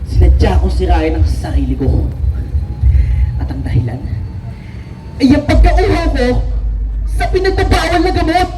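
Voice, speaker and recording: Distant, bitter young addict with wild pitch. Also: male voice, background noise, full character voice. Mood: bitter, defiant. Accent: Filipino. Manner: dramatic, swinging from muttering to shouting. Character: bitter, drug-addicted young man ruined by family. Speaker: stage actor. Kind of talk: theatrical monologue in a stage play. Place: outdoor park stage, recorded from afar. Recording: distant echoey pickup with loud music.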